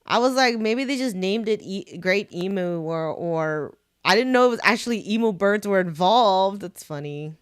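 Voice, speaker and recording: A woman's voice: medium pitch, expressive, normal speed, few pauses, clear, resonant tone, dry recording, studio quality. A young woman chatting casually while streaming a game, giggly and delighted. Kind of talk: casual live-stream chat.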